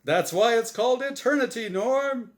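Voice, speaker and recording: A man's voice: extremely high pitch, moderate pace, pitched above the performer's own voice. A man voicing a smug, showy angel who hosts a game show, with theatrical, emphatic patter in a storybook reading. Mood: showy, teasing. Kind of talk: storybook character voice in dramatic reading.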